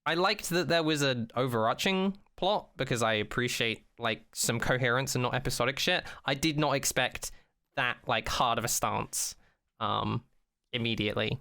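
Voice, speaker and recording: A man co-hosting a podcast, chatting casually and opinionatedly, acting out mock quotes in an animated way and swearing freely. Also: mid-range voice, moderate pace. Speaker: podcast co-host. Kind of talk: casual chat, opinionated rant about a TV show.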